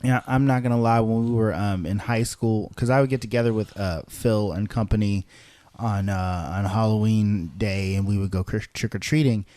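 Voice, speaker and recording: A man's voice: lowish voice, fairly even intonation, normal speed, dark tone. A man co-hosting a podcast, telling stories casually and often repeating words as he goes. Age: adult. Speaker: podcast co-host. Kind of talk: casual conversational storytelling.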